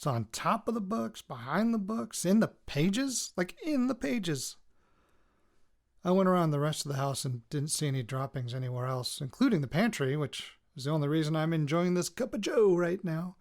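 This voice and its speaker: An actor playing a weary, jittery male radio reporter recording personal audio notes for a fiction podcast, in a halting, self-interrupting way. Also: fairly high pitch, expressive. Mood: puzzled, reflective.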